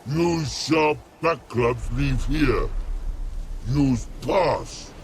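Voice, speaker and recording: An actor voicing a big, dim, stubborn rock troll in a video game, gravelly and halting, with broken grammar. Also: male voice, medium pitch, slight background noise. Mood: earnest, muddled. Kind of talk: video game character acting.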